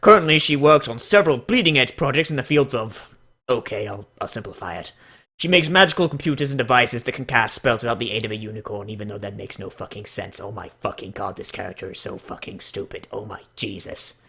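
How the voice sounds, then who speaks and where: A man hosting casual, mocking commentary and dramatic readings, crude, exclamatory and quick to swear. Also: lowish voice, bright, forceful tone, breathy edge. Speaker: host reading fan characters aloud.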